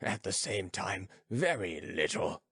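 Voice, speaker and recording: A man's voice: deep voice, big pitch swings, takes it slowly, fairly bright tone, breathy edge, no room sound, clean studio sound, heavily acted. A man voicing an old philosopher, lofty and teasing, questioning emphatically in an audiobook story. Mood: ironic, instructive. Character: old philosopher sage, lofty, teasing, growling, addressing youths.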